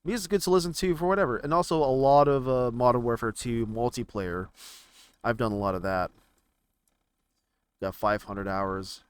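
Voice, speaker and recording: A man with a clear, smooth, mid-pitched voice talks casually about video games. He rambles and halts as he thinks out loud while browsing. The recording is close and dry, with no room sound. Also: moderate pace, pauses often, no echo. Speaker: gaming video creator.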